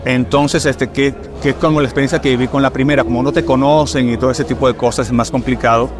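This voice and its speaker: A middle-aged film director from Puerto Rico with a mixed Caribbean and Mexican Spanish accent, chatting casually and telling stories with lots of fillers. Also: male voice, medium pitch, fairly even intonation, fast, over music. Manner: chatty, storytelling, fillers like "este". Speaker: film director, podcast guest. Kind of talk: casual conversation.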